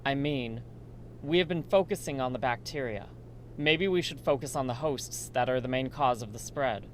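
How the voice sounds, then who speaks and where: An earnest male research assistant acted by a man in a radio play. He speaks with worry in a mid-range voice, with faint rumbling noise on the recording. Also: normal speed, lightly acted. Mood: concerned. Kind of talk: amateur radio drama dialogue.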